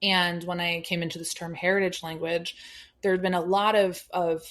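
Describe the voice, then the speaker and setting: A woman's voice: on the low side, flat delivery, moderate pace, a bit bright. A young adult American woman, a podcast guest, explaining things thoughtfully with some fillers. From United States. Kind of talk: conversational interview.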